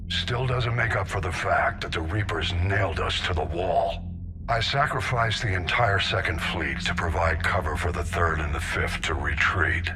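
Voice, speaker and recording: An actor playing a grim, seasoned military admiral in a video game, with a grave, blunt, authoritative delivery. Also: male voice, sits low, expressive, moderate pace, background noise. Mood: grim regret.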